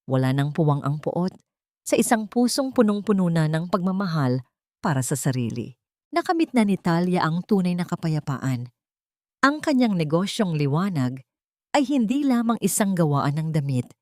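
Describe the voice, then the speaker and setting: Low-pitched woman narrating a story. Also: a little mellow, no echo, studio quality. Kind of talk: scripted dramatic story narration.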